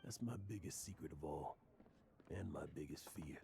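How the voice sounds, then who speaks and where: An actor playing a weary, guilt-ridden old drunken adventurer in a video game, with a gravelly voice and dramatic, halting delivery. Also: male voice, rumbling low, lively intonation, dark tone, heavily acted. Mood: sorrowful. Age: older.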